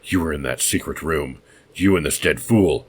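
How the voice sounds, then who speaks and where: A man voicing a mad, vengeful innkeeper, an escaped prisoner who booms and roars with wild laughter. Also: moderate pace, breathy edge. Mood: manic menace.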